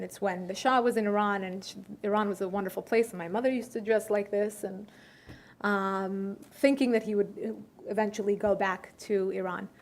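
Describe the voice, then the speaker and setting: A young woman speaks at a lunch event, with a voice of middle pitch. She talks in a measured way, pausing on fillers and restarting phrases as she thinks. It sounds like a relaxed question-and-answer session. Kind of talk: conversational Q&A talk. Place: luncheon room.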